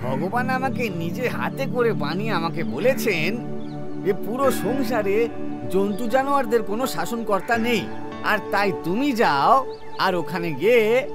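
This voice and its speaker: Man voicing a high-pitched scheming cartoon jackal. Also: lively intonation, a little slow. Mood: sly, pompous. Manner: dramatic, wailing when scared, pompous when scheming. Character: cunning, cowardly male jackal posing as God's envoy. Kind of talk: children's cartoon voice acting. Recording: music behind the voice.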